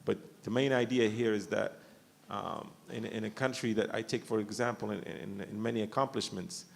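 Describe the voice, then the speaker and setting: A man's voice: fairly low pitch, fairly even intonation, normal speed, vocal fry, in a large hall. A man from Morocco speaking on a conference panel, thoughtful and full of restarts and fillers. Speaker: panelist, company founder. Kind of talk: panel discussion. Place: university conference hall.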